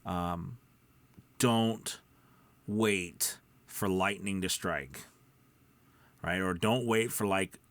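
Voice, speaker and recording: A man's voice: low-pitched, drawn-out words, long pauses, a bit bright, no room sound. A man giving casual, rambling coaching advice to viewers, emphatic and self-deprecating. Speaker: voice-over coach and video host.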